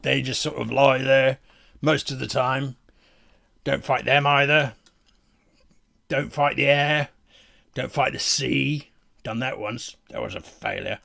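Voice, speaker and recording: A man playing a battle-weary, proud fantasy warrior in a comic monologue, speaking in a slow, pompous, deliberate way. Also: mid-range voice, fairly even intonation, vocal fry, bright, forceful tone, full character voice. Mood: weary, boastful.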